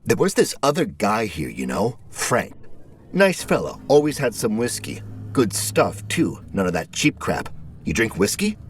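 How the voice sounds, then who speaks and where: A man voicing a chatty, nosy, eccentric male neighbor in an audio story, with a folksy, rambling, excitable way of talking. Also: on the low side, a little slow, pitched above the performer's own voice.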